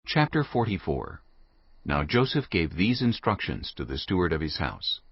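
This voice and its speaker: A man's voice: low-pitched, big pitch swings, moderate pace, breathy edge, no room sound. A man narrating scripture from a script as storyteller in a dramatized audio production. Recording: slightly dull studio recording.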